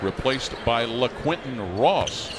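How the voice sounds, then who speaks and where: A man calling a basketball game play by play in brisk live commentary. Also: medium pitch, expressive, heavy background noise. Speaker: play-by-play announcer.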